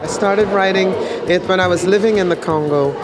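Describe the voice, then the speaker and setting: A woman's voice: on the low side, brisk. A middle-aged or older woman speaking informally in an interview as a novelist. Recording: loud music and crowd behind. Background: music and crowd chatter.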